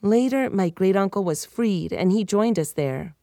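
A woman's voice: mid-range voice, lively intonation, moderate pace, dark tone, no room sound, studio quality. Woman telling her story. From United States (Miami). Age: 30s. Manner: candid, personal storytelling. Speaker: reporter. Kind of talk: scripted podcast narration.

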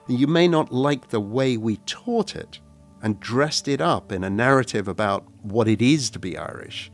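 A man hosting a radio documentary, narrating in a measured, reflective way and posing questions. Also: mid-range voice. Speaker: radio host.

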